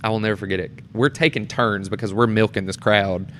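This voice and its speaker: A man chatting casually and telling stories in an animated way, acting out how people react. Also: on the low side, brisk. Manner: animated storyteller, acts out reactions. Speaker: guest, amateur stage performer. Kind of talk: casual storytelling chat. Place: temporary studio in a theatre. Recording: clear background noise and room ambience.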